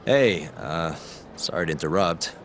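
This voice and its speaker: An actor playing a wry, tough investigator in a video game, talking in a dry, casual, understated way. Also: male voice, rumbling low, lively intonation, measured pace, noisy background, heavily acted. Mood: reluctant, awkward. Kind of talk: video game cutscene acting.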